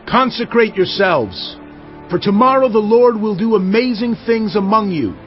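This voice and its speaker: An actor playing a commanding, fervent Israelite leader in a dramatized audio Bible. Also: male voice, high voice, moderate pace, lightly acted. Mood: exalted, commanding. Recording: slightly dull audio over music.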